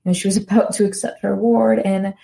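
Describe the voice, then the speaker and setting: Mellow, gravelly-voiced woman chatting. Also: mid-range voice, flat delivery, brisk. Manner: chatty, enthusiastic, self-correcting. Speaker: podcast host. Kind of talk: casual solo podcast talk.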